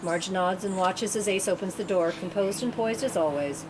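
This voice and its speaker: A woman narrating screenplay directions at a table read. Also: moderate pace. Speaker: narrator reading stage directions. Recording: clear background rumble and room noise.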